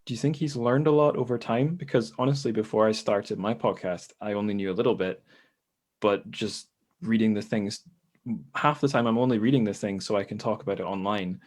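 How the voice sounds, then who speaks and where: Low-voiced man hosting a podcast discussion. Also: fairly quick, darkish tone. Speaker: podcast host. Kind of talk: casual panel discussion.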